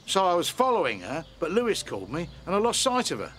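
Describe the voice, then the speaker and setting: Uneasy suspicious husband, radio soap, lively highish voice. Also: male voice, fast, slight background noise, full character voice. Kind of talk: radio soap opera acting. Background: ambience and birds.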